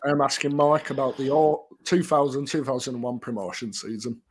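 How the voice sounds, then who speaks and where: A man's voice: mid-range voice, a little fast, slightly rough. A man co-hosting a casual podcast, giving brief quiz answers and asking questions. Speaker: podcast co-host.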